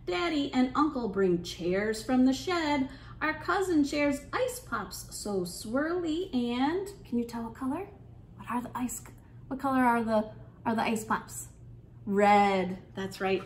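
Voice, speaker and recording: A woman hosting an interactive children's storytime, speaking in an encouraging, sing-song way and pausing with questions for young listeners. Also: a little high, animated, roomy sound, slight background noise. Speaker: children's storytime librarian and host. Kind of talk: interactive children's storytime, reading aloud and leading songs.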